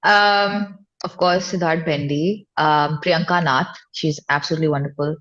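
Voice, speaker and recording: A woman's voice: sits low, fairly even intonation, normal speed, fairly bright tone, no echo, muffled recording. A woman with an Indian accent chatting candidly and informally as an interview guest, with emphatic phrasing. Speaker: interview guest, indie singer-songwriter. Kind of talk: casual chat.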